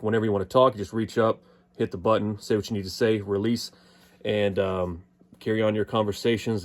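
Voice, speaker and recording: An American man giving a casual, unscripted gear review in a folksy, explanatory manner. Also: fairly low pitch. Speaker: product reviewer.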